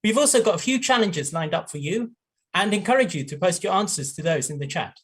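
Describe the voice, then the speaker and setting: A man's voice: sits high, lively intonation, fairly quick, fairly bright tone, breathy edge. A man hosting a live online science broadcast, introducing his colleagues with fillers and small laughs. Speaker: host.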